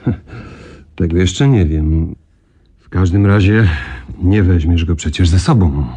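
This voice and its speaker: A deep-voiced coaxing editor. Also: male voice, slow. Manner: jovial, coaxing, brushing off objections. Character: smooth, persuasive male editor. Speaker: newsroom editor. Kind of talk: radio drama acting.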